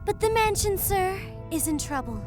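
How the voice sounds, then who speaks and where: A woman's voice: very high voice, big pitch swings, normal speed, over music. A woman playing a prim, eager lady ghost in an audio drama, speaking in flowery, formal, earnest phrases. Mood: earnest, persuasive. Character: prim, eager, polite lady ghost, persuasive committee recruiter. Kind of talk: theatrical scripted audio drama.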